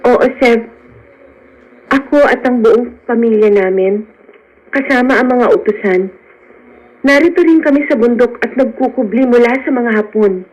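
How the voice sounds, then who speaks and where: High-voiced sheltered young lady, radio drama, woman acting. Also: normal speed, long pauses, a little mellow, close to an everyday voice. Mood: guarded, gentle. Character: sheltered rich young woman, gentle, fearful, torn in love. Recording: dull lo-fi radio, music behind.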